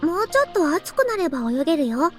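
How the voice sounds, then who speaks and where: A woman's voice: very high-pitched, very animated, very fast, faint music behind. A woman playing a young female character in a Japanese visual novel. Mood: soft, gentle.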